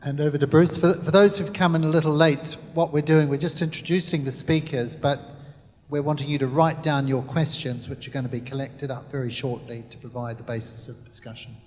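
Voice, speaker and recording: A man's voice: on the high side, steady tone, a little fast, a little mellow. A man moderating a panel discussion, polite and a bit hesitant. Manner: polite, hesitant, uses fillers. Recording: reverberant hall.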